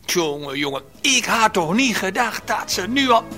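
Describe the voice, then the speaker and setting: An actor playing an excitable, folksy farmer on the phone in a radio play, husky and full of exclamations. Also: male voice, high voice, normal speed, soft background music, heavily acted. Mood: excited, amazed. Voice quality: husky, sharp. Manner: folksy exclamations, repeating himself.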